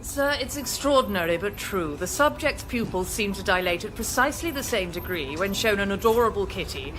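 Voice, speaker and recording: A woman's voice: medium pitch, lively intonation, fairly quick, over music, lightly acted. A British woman playing a haughty, disdainful female official in a radio comedy, formal and precise. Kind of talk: radio sketch comedy acting.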